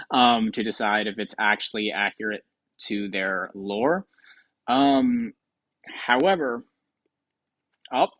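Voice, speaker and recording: A man's voice: medium pitch, fairly bright tone, no room sound. A man hosting a game show with casual, improvised commentary in a rambling, offhand way.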